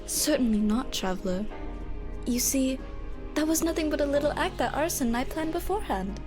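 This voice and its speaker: Proud, stern female illusionist voiced by an actress, fantasy audio drama, higher voice, formal theatrical delivery, loud music underneath. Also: expressive, fairly quick. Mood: smug, explaining. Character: Zaya, proud illusionist woman, formerly bloodthirsty, stern but courteous.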